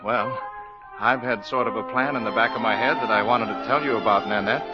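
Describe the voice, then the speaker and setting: An actor in a 1940s radio musical playing an earnest young suitor who dreams of marriage, speaking sincerely and crooning slow, smooth love songs. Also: male voice, medium pitch, over loud music, natural voice. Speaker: host and lead baritone singer. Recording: dull 1940s radio broadcast, loud orchestra.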